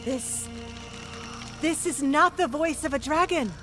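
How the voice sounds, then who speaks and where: An earnest dragon hunter, determined and sincere, in a fantasy video game. Her voice sits on the high side, with soft music behind it. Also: slow pace. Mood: alarmed. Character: earnest, resolute female dragon hunter ally. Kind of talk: video game cutscene acting.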